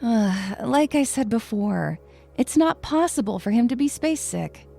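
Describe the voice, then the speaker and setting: A woman's voice: on the high side, expressive, unhurried, gravelly, dark tone, soft background music, full character voice. A woman playing a stern, weary science professor, sarcastic and pedantic, in a comedy audio drama. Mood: pedantic, annoyed.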